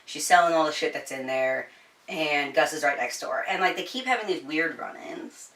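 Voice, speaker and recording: A woman's voice: sits low, normal speed, fairly bright tone, recorded from a distance. A woman hosting a casual, chatty book review, informal and giggly, with some swearing. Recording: roomy echo, slightly off-mic.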